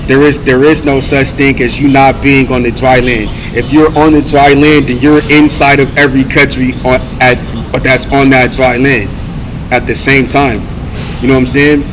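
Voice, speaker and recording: An American man speaks in a rambling, earnest monologue at a middle pitch with very little melodic movement. His delivery is halting and repetitive, circling back over his declarations. Clear background noise sits under the recording. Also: flat delivery. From United States (California).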